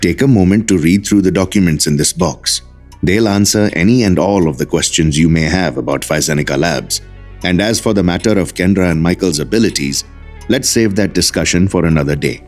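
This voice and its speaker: A man playing a calm, cautious mentor in an audio drama, speaking in a measured, formal and polite way. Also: deep voice, faint music behind, natural voice. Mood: measured, explaining. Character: calm, cautious male mentor to two gifted teenagers.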